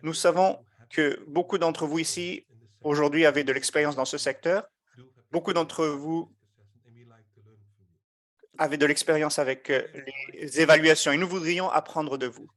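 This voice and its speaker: Slightly high-voiced male webinar interpreter. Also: steady tone, a bit bright. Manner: measured, with frequent hesitations. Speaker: simultaneous interpreter.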